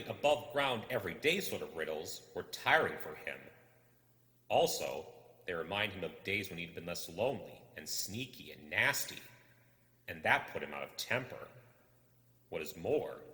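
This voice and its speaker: Male book narrator, expressive, bright forceful tone, very animated pitch, long echoing reverb. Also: moderate pace. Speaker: storyteller reading a book aloud.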